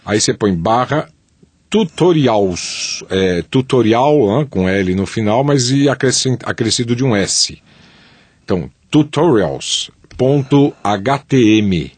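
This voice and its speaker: A man's voice: medium pitch, animated, slow, a little mellow, breathy edge, no echo. A man co-hosting a tech chat show, explaining things step by step, repeating the details and telling jokes. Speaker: podcast co-host. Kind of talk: casual radio-style chat.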